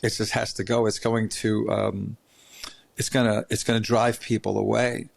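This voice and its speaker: A man's voice: lowish voice, flat delivery, normal speed, gravelly. A man hosting an interview podcast, telling rambling stories in a chatty way with many restarts. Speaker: podcast host. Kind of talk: casual interview chat.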